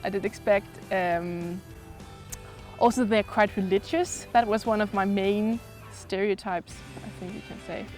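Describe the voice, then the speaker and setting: A woman's voice: medium pitch, fairly even intonation, a little slow, darkish tone, soft background music. A young woman from Denmark, talking casually and expressively as an exchange student in an interview. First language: Danish. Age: young adult.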